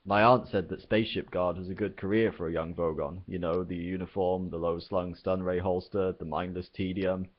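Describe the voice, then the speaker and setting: A man voicing a hulking young alien guard who bellows slogans and talks slowly and dully. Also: low-pitched, flat delivery. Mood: slow, baffled. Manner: bellowing slogans, slow and dull-witted in talk.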